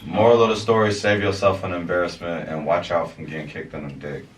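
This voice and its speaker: Young man, podcast co-host, playful teasing, low pitch, far from the mic, faint noise. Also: steady tone, fairly quick, reverberant. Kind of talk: casual chat.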